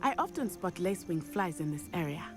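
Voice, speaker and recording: A woman plays a friendly teenage schoolgirl witch in a fantasy game. She speaks, choosing words precisely and formally. Music plays clearly behind her. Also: fairly low pitch, animated, lightly acted. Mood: chatty, curious. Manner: measured, precise diction without contractions. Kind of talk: video game cutscene acting.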